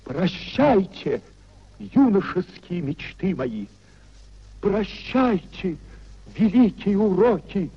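A tormented idealistic young husband in a 1950s radio drama. His voice is high and husky, full of drawn-out theatrical repetition and despair. The old recording is dull and muffled. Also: animated, full character voice. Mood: wistful resignation. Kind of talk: 1950s radio play acting.

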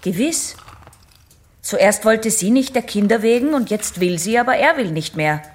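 A woman's voice: fairly high pitch, expressive, moderate pace, natural voice. Actress as a guarded young woman patient, faint noise. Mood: matter-of-fact. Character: guarded young female patient answering her doctor. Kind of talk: German radio play acting.

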